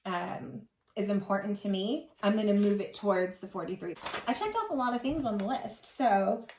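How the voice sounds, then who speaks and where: A woman's voice: medium pitch, animated, moderate pace, a little mellow, slight background noise. Echoey, casual-talking woman in her forties. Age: 40s. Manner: informal, peppered with "like". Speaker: co-host of a witchy chat channel. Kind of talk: casual chat. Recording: echoey room.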